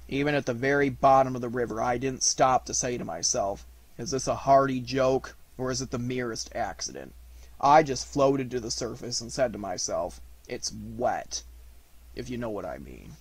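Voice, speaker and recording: A man voicing a gloomy, aggrieved old donkey in a storybook, gruff, slow and deadpan. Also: mid-range voice, dry recording. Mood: aggrieved, sardonic. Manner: slow, drawn-out, deadpan grumbling.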